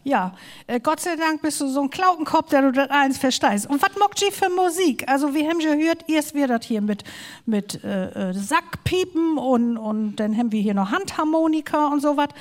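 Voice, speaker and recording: A woman radio host with a Low German accent, leading a live show in a folksy way. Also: normal speed, in a large hall.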